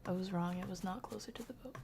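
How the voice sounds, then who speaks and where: A woman doing casual live commentary on a horror game, talking to chat in slang and thinking out loud as she reacts. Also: medium pitch, quick pace, vocal fry, background noise. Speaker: game streamer.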